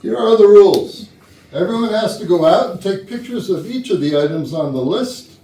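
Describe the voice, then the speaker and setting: An actor playing a flustered, officious boss at an office Christmas party in a staged comedy reading. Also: male voice, high-pitched, lively intonation, moderate pace, dark tone. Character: flustered man boss hosting office Christmas party, trying to keep order. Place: indoor rehearsal room, mic at a distance. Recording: roomy distant rehearsal recording, faint noise.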